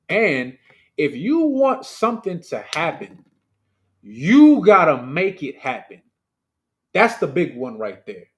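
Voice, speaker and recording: Emphatic man preaching a streamed sermon. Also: mid-range voice. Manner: conversational and emphatic, with rhetorical questions; loose and chatty, with many fillers and asides. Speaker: preacher leading a livestreamed service.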